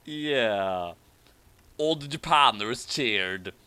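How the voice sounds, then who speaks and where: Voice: mid-range voice, lively intonation, extremely slow, pushed and bright, clear, resonant tone. A person reading a story aloud for comedy and chatting casually about it, often going back over flubbed lines. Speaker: fan fiction reader and commentator.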